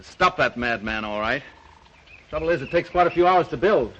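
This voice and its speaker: An actor playing a resolute, protective castaway hunter in a brisk, confident, old-time radio drama style. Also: male voice, fairly high pitch, expressive, bright, forceful tone. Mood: focused, cautioning. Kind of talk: 1940s radio drama acting. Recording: muffled, noisy old-time radio recording.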